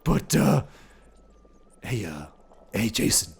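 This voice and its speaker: A crude, irritable Batman, put on by a man in an improvised podcast sketch, with a deeply gravelly, rough-edged voice and a heavily husky, raspy tone. He talks bluntly and sarcastically and swears freely. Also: big pitch swings, slow pace, full character voice.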